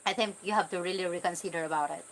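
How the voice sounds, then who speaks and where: A woman's voice: lowish voice, rapid-fire, a little hiss or hum, poor audio. Casual Filipino-accented woman chatting candidly. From Philippines. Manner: candid, informal, talking directly to viewers. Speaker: vlogger answering live chat comments. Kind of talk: casual livestream chat.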